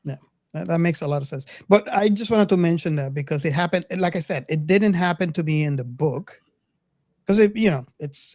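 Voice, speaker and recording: Podcast host chatting about movies, kinda stammery and hesitant, mid pitch, really dry audio. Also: warm tone, dry recording. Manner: hesitant, stammering, repeats words. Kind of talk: casual film discussion.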